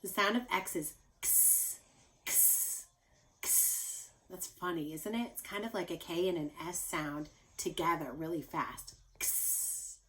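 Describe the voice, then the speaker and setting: A woman teacher reading a children's story aloud, explaining things simply and speaking directly to young listeners with expressive emphasis. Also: on the low side, slow, poor audio.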